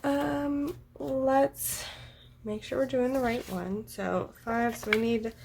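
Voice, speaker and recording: A woman with a hoarse, froggy voice talks to herself as she works, slow and unhurried, sometimes trailing off into counting under her breath. Her voice sits a bit high. A faint hiss runs under the recording. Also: fairly even intonation, a little mellow. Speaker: planner vlogger. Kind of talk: casual talk while drawing a journal spread.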